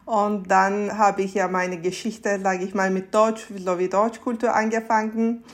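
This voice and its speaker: A woman in her mid-thirties speaks with a Turkish accent as the guest in an interview. Her pitch is average for a woman. She talks conversationally, with giggles slipped in between phrases. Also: level tone, a little slow. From Istanbul, Turkey. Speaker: podcast guest, SAP consultant.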